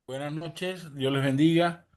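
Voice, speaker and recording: A man's voice: a little high, steady tone, a little slow, a little mellow. A man from Venezuela, a pastor, asking a question over a video call in a formal, earnest way. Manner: formal, earnest, some repeated words. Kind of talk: question from a participant in an online class.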